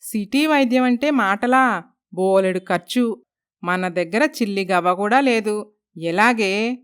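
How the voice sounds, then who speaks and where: A woman's voice: medium pitch, unhurried, clear, resonant tone, natural voice. A woman voicing a worried, doubtful mother of a poor family in a moral story. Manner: anxious, doubtful. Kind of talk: dramatised moral story voice acting.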